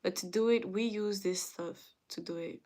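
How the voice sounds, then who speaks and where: A woman with as her hosting a casual livestream, answering viewers' comments and sometimes dropping to a soft whisper. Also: medium pitch. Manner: slow, chatty, often drops to a soft whisper. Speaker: livestream host.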